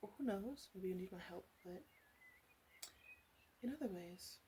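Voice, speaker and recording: Casting: woman as a blunt, flirtatious witch for ASMR roleplay; unhurried, soft, whisper-prone delivery, faint background noise. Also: mid-range voice, expressive, lightly acted. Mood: gentle, encouraging. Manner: soft-spoken, hesitant, often dropping into whispers.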